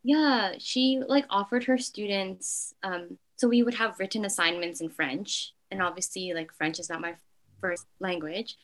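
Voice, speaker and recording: A woman's voice: medium pitch, a little slow. A young woman from Canada answering interview questions in a casual, reflective way, full of "like" fillers. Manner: frequent "like" fillers, soft and hesitant.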